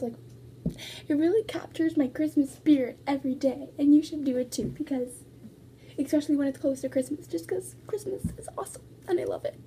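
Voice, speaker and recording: Teen vlogger, bright high voice, slightly hoarse, chatty, phone-at-arm's-length sound with faint room noise. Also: female voice. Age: teens. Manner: chatty, lots of fillers, upbeat. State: slightly hoarse, losing her voice. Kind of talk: casual vlog. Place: house, indoors and on a back deck. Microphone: phone camera at arm's length.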